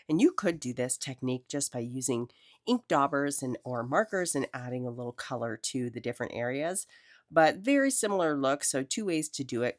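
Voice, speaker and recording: Low-voiced chatty woman with a cold. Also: no echo, studio quality. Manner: chatty, enthusiastic. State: has a cold. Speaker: crafting video host. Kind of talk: casual craft tutorial.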